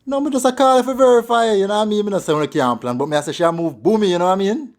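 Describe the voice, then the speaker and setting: A man's voice: high voice, rapid-fire. A Jamaican man talking casually on a prank phone call, with repetitive questioning. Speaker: prank show host.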